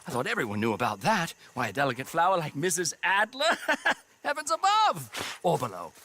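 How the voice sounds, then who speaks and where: Casting: florid, excitable gentleman balloonist for a game, high-pitched, wildly swooping delivery, ever so courteous. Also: moderate pace, a little hiss or hum, exaggerated voice. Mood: jaunty, courteous. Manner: flowery, courteous, exclamatory speech. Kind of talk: video game acting.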